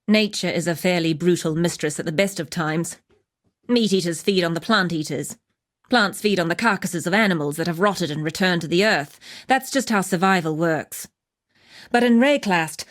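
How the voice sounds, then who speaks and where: A woman voicing a fierce, grim huntress and scholar of beasts for a video game, speaking in a grave, blunt and deliberate manner. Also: fairly low pitch, dry recording, studio quality, natural voice. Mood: uneasy, grave. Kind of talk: scripted video game character lines.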